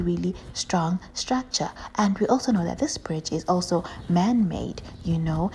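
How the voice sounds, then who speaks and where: Woman as an eager, mellow-voiced dragon puppet teacher. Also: mid-range voice, a little hiss or hum, natural voice. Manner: repeats words, eager, explanatory. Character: eager, chatty dragon doctor puppet who teaches children. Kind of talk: educational puppet show for children.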